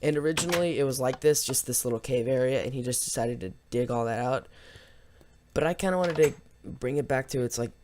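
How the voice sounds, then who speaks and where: A man with a slightly hoarse voice giving casual, rambling, unscripted let's play commentary as host of a gaming video. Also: mid-range voice, a little fast, darkish tone, a little hiss or hum. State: slightly hoarse after losing voice from yelling.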